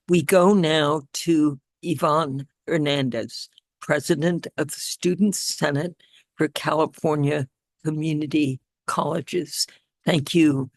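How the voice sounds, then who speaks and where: A woman moderating a news briefing, making polite, measured introductions over a remote call. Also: deep voice, no echo.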